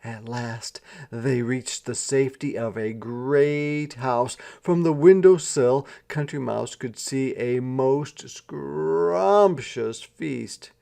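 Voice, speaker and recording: A man narrates a children's storybook at an unhurried pace. His medium-pitched voice has a gravelly creak, and he phrases each line deliberately and dramatically. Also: mellow. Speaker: storyteller reading a children's book aloud. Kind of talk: expressive scripted storybook narration.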